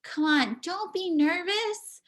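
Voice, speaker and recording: A woman giving a live spoken interpretation of a signing performer, speaking in halting bursts with pauses and restarts, over a video call. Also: very high voice, expressive. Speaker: sign language voice interpreter.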